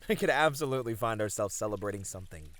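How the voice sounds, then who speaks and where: A man's voice: fairly low pitch, lively intonation, rapid-fire, a bit bright. A man acting an easygoing, friendly manager unwinding after work in an ASMR roleplay, casual and lightly flirty. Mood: sincere, hopeful. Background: pouring, trickling water.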